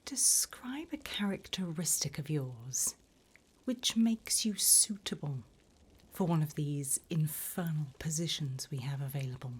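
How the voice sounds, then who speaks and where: Here is a woman playing a gleefully wicked demoness conducting an infernal interview in an ASMR roleplay. She speaks in a very breathy whisper, low and unhurried. Her phrasing swoops and lingers, mock-formal and teasing. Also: animated, heavily acted. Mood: sly, curious.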